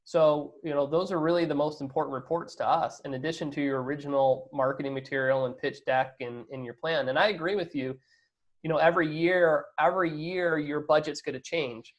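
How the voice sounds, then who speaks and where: A man's voice: a little high, fairly quick, lo-fi sound. Emphatic man explaining in an interview. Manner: emphatic, checks with rhetorical "right?". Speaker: investor being interviewed. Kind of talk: conversational business interview.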